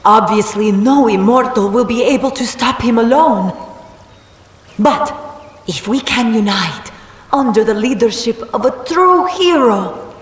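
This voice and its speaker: A woman playing a mystical, theatrical oracle in a video game, mixing grand prophecy with wry humor. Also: mid-range voice. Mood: earnest, urging. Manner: grand prophetic delivery dropping into wry asides. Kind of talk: video game character dialogue. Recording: echoing long reverb, game ambience noise.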